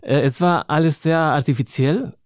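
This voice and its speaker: A man's voice: fairly high pitch, normal speed, no room sound. Spanish-accented man, dry close sound. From Spain. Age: 30s. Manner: thoughtful, searching for words with fillers, laughs easily. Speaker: poet and author guest. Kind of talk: interview, reading own poem.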